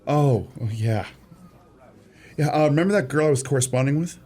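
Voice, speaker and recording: An actor playing an earnest, romantic and easily exasperated shop clerk in a radio play. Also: male voice, medium pitch, moderate pace, darkish tone, breathy edge, natural voice. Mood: shy, smitten. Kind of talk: radio theatre acting.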